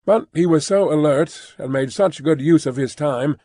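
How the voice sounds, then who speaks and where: A man reading an audiobook as scripted literary narration, in a measured, formal storytelling manner. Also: a little high, dark tone, no echo, clean studio sound.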